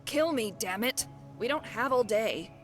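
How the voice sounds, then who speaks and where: A woman's voice: a little high, lively intonation, measured pace. Actress as female rogue companion for fantasy game: brash, wry, cocky, theatrical delivery, music underneath. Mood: insistent goading. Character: brash, wry female thief, undying adventurer. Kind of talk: voiced game dialogue.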